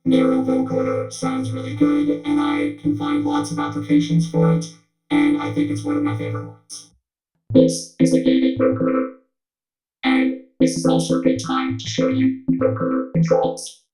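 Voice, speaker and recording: A man's voice: very high voice, monotone, normal speed, loud music behind the voice, voice pushed up, poor audio. A man speaking through a vocoder as a friendly synthesizer robot, with a synthetic, chord-toned voice in a casual demo. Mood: upbeat, demonstrative. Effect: vocoder robot filter.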